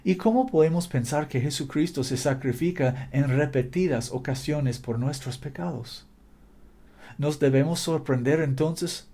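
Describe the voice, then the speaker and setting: Fervent preacher, warm mellow voice. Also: male voice, a little high. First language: not Spanish. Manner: fervent, repetitive exhortation with slips and restarts. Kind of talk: fervent sermon.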